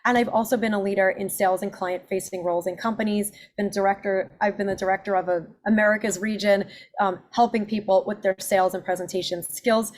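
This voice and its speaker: A woman in her forties, an accent and presentation coach, giving an upbeat webinar pitch of her services. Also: a little mellow, slight background noise. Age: 40s. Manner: upbeat, self-promoting, listing services.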